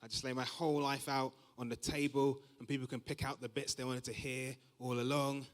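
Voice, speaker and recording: A man's voice: mid-range voice, flat delivery, a little fast. A young man with a London accent doing live stand-up, telling observational anecdotes conversationally and acting out quoted remarks. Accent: London English. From Southeast London, England. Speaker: stand-up comedian. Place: large comedy venue, on stage. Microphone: stage microphone. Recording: reverberant hall, live stage microphone.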